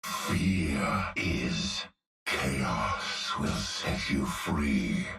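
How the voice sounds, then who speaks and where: A cruel, menacing cyborg brute speaks at an extremely low pitch with a gravelly, mask-muffled growl. He drags every word out at a crawling pace. The game audio sounds echoey and distant, with faint music behind it. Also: expressive, pushed and bright, heavily acted. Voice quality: deep gravelly voice muffled as if through a gas mask. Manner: slow, subdued, menacing delivery. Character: monstrous male cyborg executioner, cruel and menacing. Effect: muffled mask filter.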